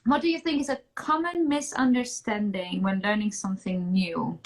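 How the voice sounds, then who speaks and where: A woman's voice: medium pitch, moderate pace, slight background noise. A woman in her thirties hosting an interview over a video call, affirming and encouraging her guest. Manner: affirming, encouraging, nods along. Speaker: podcast host, yoga and language coach. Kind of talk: casual interview chat. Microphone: webcam on video call.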